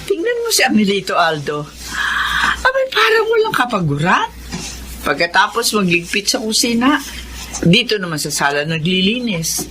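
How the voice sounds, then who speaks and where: Animated, kindly household woman. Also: medium pitch, normal speed. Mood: amused admiring. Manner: expressive, exclaiming. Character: kindly, chatty household woman, delighted by child. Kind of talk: radio drama acting.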